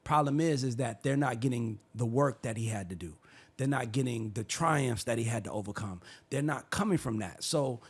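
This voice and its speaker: A man hosting a sports opinion show, arguing his case in a heated, preachy way and sometimes building to shouts. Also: mid-range voice, animated, normal speed, slightly rough. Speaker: show host.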